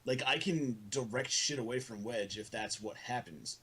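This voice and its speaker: Guy with a kinda husky voice arguing on a group call, blunt and sweary. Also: medium pitch, normal speed, fairly bright tone. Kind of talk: heated group voice call argument.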